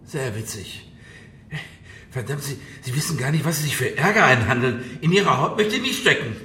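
An actor playing an arrogant, blustering middle-aged banker in a radio crime drama, brusque and stammering when pressed. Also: male voice, medium pitch, animated, normal speed, sharp tone, slight background noise. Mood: rattled, blustering.

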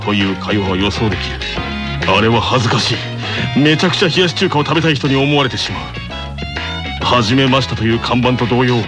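A man playing an earnest, self-conscious teenage tennis captain reflecting in a deadpan monologue for an anime radio show. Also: mid-range voice, brisk, over music, close to an everyday voice. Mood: deadpan grumbling.

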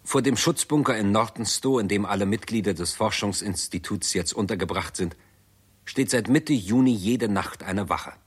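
An actor narrating a radio drama as a grave chronicler, in a measured, sober, matter-of-fact manner. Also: male voice, lowish voice, breathy edge. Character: grave male chronicler of a world catastrophe.

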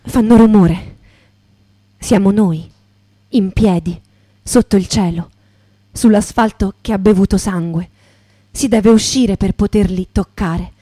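Slow, grave female witness. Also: mid-range voice, mellow, no room sound. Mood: grave, poetic. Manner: measured, short broken phrases. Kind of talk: poetic radio theatre, spoken and sung.

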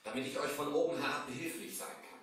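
A strongly echoing vintage radio play, a little off the mic. A man acts a loyal servant with a fairly high male voice, humble, earnest and theatrical toward his master. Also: quick pace, fairly bright tone, far from the mic, lightly acted. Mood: devoted, earnest. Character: loyal, devoted servant of a young merchant. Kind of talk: 1970s German radio play acting. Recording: very echoey old vinyl radio play.

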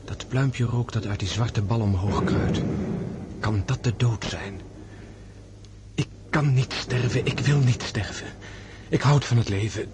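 An actor in a radio drama playing an introspective army officer facing death, delivering a reflective inner monologue. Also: male voice, medium pitch, measured pace, heavy background noise, lightly acted. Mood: fearful wonder.

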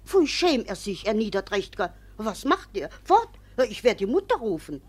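An actress playing a gossipy village woman in a 1950s radio play, telling a tale vividly, with a husky voice. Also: mid-range voice, very animated, normal speed. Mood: eager telling. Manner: breathless, vivid storytelling.

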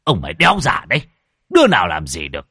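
A man's voice: low voice, big pitch swings, normal speed, no room sound, studio quality, heavily acted. A man voicing a rude, short-tempered old opium-smoking man who curses and dismisses others. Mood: irritated. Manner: gruff, dismissive, cursing.

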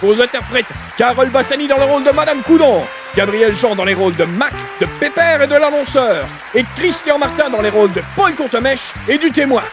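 High-pitched male radio announcer. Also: expressive, fairly quick, hardly any pauses, music in the background. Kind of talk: scripted radio credits announcement.

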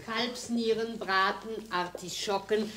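A woman acts a household servant in a radio play. Her voice is mid-pitched for a woman. She presents things in a polite, formal manner. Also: steady tone, slow pace, a loud crowd, close to an everyday voice. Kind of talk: German radio play acting.

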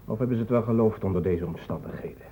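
A man's voice: fairly low pitch, quick pace, dark tone, lightly acted. An actor playing an indignant young man in a radio play, speaking for his friends with insistent, challenging questions. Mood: accusing. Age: young adult. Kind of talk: Dutch radio drama acting. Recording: muffled, narrow-band old radio recording, noisy.

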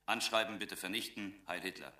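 An actor playing a brisk Nazi official dictating telex messages in a clipped, formal way in a German radio play. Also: male voice, deep voice, bright, forceful tone. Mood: formal, conspiratorial. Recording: echoey, distant from microphone.

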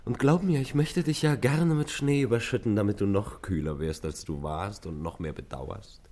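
An actor playing a young traveling man, an intimate, deliberate and teasing lover in a classic radio play. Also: on the low side, a little mellow. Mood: wry, wistful.

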